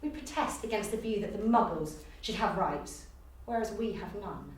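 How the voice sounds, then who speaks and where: A woman's voice: mid-range voice, animated, normal speed. A woman speaking as an auditioning actor, recorded in a room. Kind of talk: audition speech and casual chat. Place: echoey university room. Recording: very echoey room, distant mic, faint noise.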